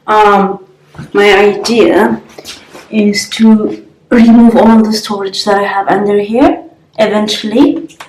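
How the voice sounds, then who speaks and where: A woman in her thirties, slightly raspy, chatting casually to camera at home with many ums and restarts. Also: medium pitch, flat delivery, takes it slowly, a little mellow, reverberant, low-quality recording. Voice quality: raspy in the morning. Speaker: vlogger. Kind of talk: casual vlog chat to camera. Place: sunlit living room at home, indoors. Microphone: compact camera on-board mic.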